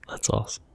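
A man softly talking through a video game in whispered ASMR style, slow and gentle. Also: a little hiss or hum. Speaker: ASMR gaming channel host. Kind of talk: soft-spoken ASMR game commentary.

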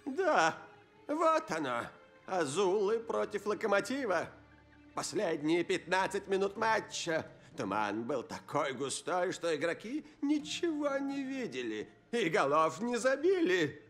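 An actor voicing a scheming, gleeful old villain scientist in a dubbed cartoon, with theatrical delivery. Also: male voice, sits high, sing-song, unhurried, fairly bright tone, soft background music, full character voice. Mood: excited scheming. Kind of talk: Russian cartoon dub villain.

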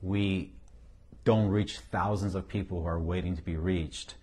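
Middle-aged syrian-accented guy, deep voice, pastor chatting on a couch, lapel mic. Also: steady tone, unhurried, dark tone, slightly rough. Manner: emphatic, repeats key phrases, chuckles. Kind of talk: casual ministry talk. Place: indoor office, seated on couch.

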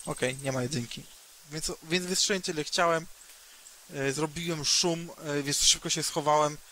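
A man playing a tabletop roleplaying game, haltingly talking through his plans and repeating words as he goes. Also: a little high, steady tone, pushed and bright, slight background noise, low-quality recording. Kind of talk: improvised in-game discussion.

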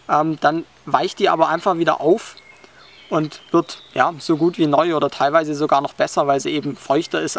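A young man with a fairly high voice talks through a hands-on outdoor skill, calm and explanatory, pausing often with hesitant fillers. He is recorded close up while sitting on a forest floor, with faint insects in the background. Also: creaky. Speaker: bushcraft instructor.

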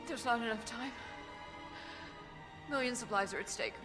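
A woman's voice: a little high, moderate pace, far from the mic, music in the background, natural voice. An actress playing an urgent, driven middle-aged scientist and mother in a video game cutscene. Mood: urgent, sorrowful. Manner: urgent, dramatic.

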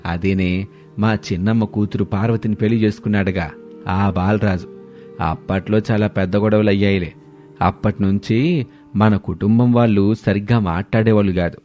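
A male storyteller voicing a groom's middle-aged father, measured and matter-of-fact. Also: on the low side, mellow, lightly acted. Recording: background music under voice.

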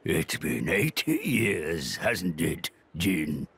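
A man voicing a huge old dragon in a video game, a gruff but fond uncle with a gravelly, husky voice. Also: low voice, big pitch swings, drawn-out words, fairly bright tone, heavily acted. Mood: fond, weary. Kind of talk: video game cutscene acting.

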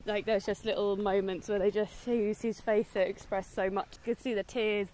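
A woman's voice: medium pitch, little pitch movement, moderate pace, a little mellow. A woman, casually chatting through a film review while walking outside. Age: 20s. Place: outdoors, walking on a woodland path. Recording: outdoor ambient noise.

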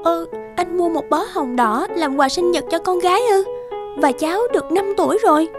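A woman voicing a cheerful, articulate young teacher in an animated drama, speaking politely and explaining things. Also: very high voice, normal speed, music in the background. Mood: surprised, teasing.

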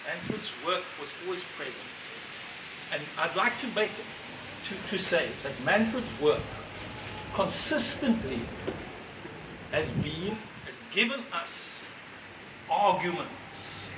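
A man in his sixties giving a reflective, measured speech to an audience at a gallery opening, with pauses between phrases. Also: sits high, lively intonation. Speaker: speaker at an exhibition opening. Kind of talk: unscripted public speech. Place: gallery entrance, indoors facing an audience. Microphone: far camera, no mic seen. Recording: roomy, distant, noisy ambience.

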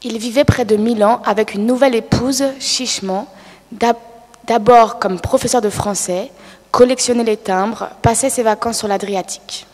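A woman's voice: on the high side, fairly even intonation, moderate pace, a little mellow. Woman reading aloud in a reverberant hall. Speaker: reader at a panel. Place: large hall, seated at panel table. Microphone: table microphone.

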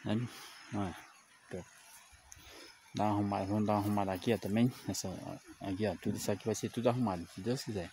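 A man's voice: lowish voice, steady tone, fast, long pauses, mellow, slight background noise. A man, a bricklayer vlogging casually from a rural building site, chatty and devout, pointing things out as he films on his phone. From Brazil. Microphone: phone camera held by the speaker.

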